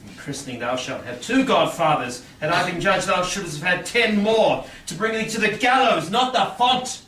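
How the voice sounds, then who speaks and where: A man playing a mocking, spiteful gentleman in a Shakespearean stage reading. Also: high-pitched, lively intonation, moderate pace. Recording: distant echoey small theatre, background noise.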